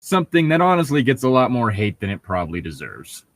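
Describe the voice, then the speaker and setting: A man's voice: medium pitch, big pitch swings, quick pace. A man hosting a casual comedy read-along, bantering and reading aloud in a wry, joking, conversational way. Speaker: podcast host reading fan fiction aloud.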